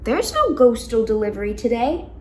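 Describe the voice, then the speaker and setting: A timid, friendly boy ghost from a storybook, acted out by a woman, high and very animated. He is mournful and dramatic, quick to exclaim and plead. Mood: startled, alarmed.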